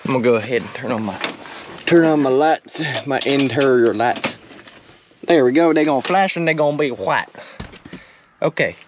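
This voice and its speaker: A man's voice: mid-range voice, moderate pace, pauses often. A teenage man chatting casually and goofily to viewers as he works on a truck, joking at his own expense. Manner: goofy, self-joking, mimics sounds. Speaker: vlogger restoring an old truck. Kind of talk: casual vlog chat while working. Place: in and around a truck. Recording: clearly noisy background with clicks.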